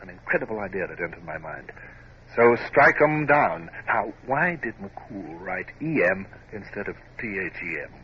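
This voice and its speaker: Naval officer memoirist, low register, formal, literary and reflective, dull noisy old radio-drama sound. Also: male voice, big pitch swings, normal speed. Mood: brooding, reflective. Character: reflective, scrupulous young male Royal Navy officer narrating memoirs. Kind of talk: dramatic first-person radio drama narration.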